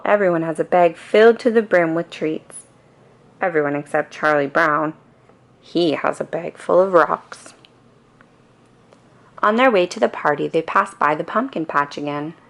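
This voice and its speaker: A woman narrating a children's bedtime story in a calm, expressive storytelling manner. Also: deep voice, creaky. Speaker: storybook reader.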